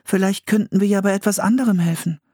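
A man voicing an eager, tender-hearted young girl with an excitable, expressive manner in a children's audiobook. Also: high voice, quick pace, breathy edge, dry recording, studio quality, voice pushed up. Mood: earnest. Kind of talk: audiobook children's story character voice.

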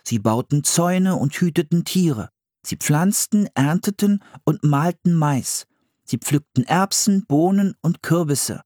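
A man's voice: fairly high pitch, animated, measured pace, a little mellow, no room sound, clean studio sound, pitched above the performer's own voice. A man voicing a cautious, bookish young boy with an earnest, slightly plaintive manner in a children's audiobook. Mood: studious reading. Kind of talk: audiobook children's story character voice.

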